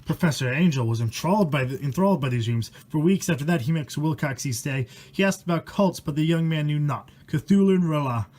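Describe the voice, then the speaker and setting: A man's voice: a little high, animated, brisk, hardly any pauses, darkish tone. A man reading a storybook aloud in a dramatic way, mixing the narration with casual, crude, mock-horrified commentary.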